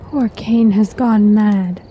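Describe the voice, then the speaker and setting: A woman playing a concerned, questioning member of a fantasy warrior people in a radio drama, speaking softly and earnestly. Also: medium pitch, steady tone, takes it slowly, muffled recording, slight background noise, close to an everyday voice. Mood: pitying.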